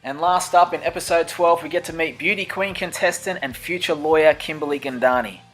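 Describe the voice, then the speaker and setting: Male host, upbeat announcer read, slightly higher voice. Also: brisk, fairly bright tone. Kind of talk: recapping a season of interviews.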